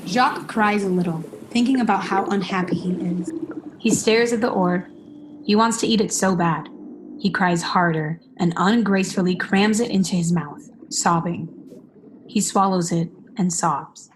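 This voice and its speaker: A woman's voice: fairly low pitch, normal speed, background noise, close to an everyday voice. A young woman reading stage directions as scripted narration for a radio play. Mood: descriptive. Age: young adult.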